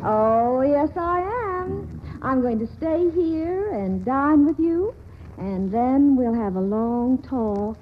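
A woman's voice: sits high, lively intonation, takes it slowly. An actress playing an impulsive young woman with a coquettish, petulant manner, in 1940s radio acting style. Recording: muffled old radio broadcast, faint hiss.

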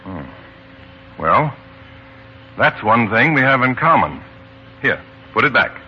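A man's voice: mid-range voice, sing-song, slow pace, breathy edge, exaggerated voice. An actor playing an earnest, insistent, plain-spoken man in a 1940s radio play, with an emphatic theatrical delivery. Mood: puzzled, frank. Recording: dull lo-fi 1940s radio, faint music.

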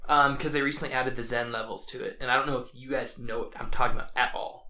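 A man's voice: mid-range voice, fairly even intonation, pushed and bright. A twenty-one-year-old American man, co-hosting a podcast with self-deprecating chat about games. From United States. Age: 20s. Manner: self-deprecating, quick to laugh. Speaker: podcast co-host. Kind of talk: casual chat.

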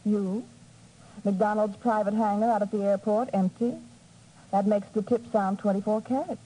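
Mellow, sly young newspaperwoman played by an actress. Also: medium pitch, fairly even intonation, normal speed, breathy edge, close to an everyday voice. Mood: teasing, confident. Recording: faintly noisy 1950s radio recording.

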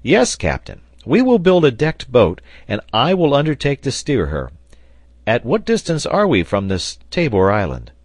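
Hearty storybook sailor, played by a male reader: excitable, bluntly confident, animated and lifted pitch, exclamatory delivery. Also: normal speed, dry recording. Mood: excited, eager. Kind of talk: audiobook character dialogue.

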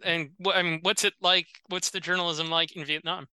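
A man's voice: a little high, little pitch movement, a little fast, bright, forceful tone, clean tone, dry recording. A man hosting a podcast, asking curious, upbeat questions. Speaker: podcast host. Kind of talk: interview questions.

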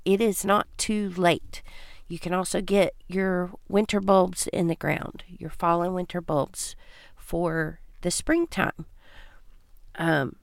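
A woman talks folksy and chatty, her voice stuffed up and congested. It sits on the lower side, smooth and clear, recorded close and dry. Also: no room sound. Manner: folksy, chatty, says y'all. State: congested from allergies. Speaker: podcast host. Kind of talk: casual homesteading podcast chat.

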